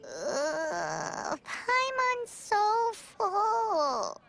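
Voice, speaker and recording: An actress voicing a tiny, childlike, bossy fairy companion in a video game, in a squeaky, cutesy manner. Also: female voice, huge pitch swings, very slow, vocal fry, fairly bright tone, soft background music, heavily acted. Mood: overfull, groaning. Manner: squeaky, cutesy, speaks of itself by name. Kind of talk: video game character acting.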